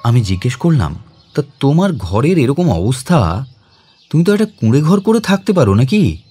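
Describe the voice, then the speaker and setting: An actor playing a mild, educated man near forty who narrates his memories in a dramatised literary audio story, in a reflective, expressive way. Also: mid-range voice, normal speed, breathy edge, soft background music.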